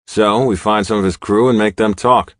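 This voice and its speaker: A man voicing a hot-headed, stubborn young outlaw biker in an audiobook, with a forceful, barking manner. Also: lowish voice, steady tone, moderate pace, no room sound, studio quality, pitched above the performer's own voice, natural voice. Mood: demanding, determined.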